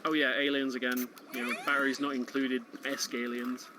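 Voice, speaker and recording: A man's voice: mid-range voice, level tone, fairly quick, noisy background. A man giving casual commentary on a video game run. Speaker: speedrun event commentator.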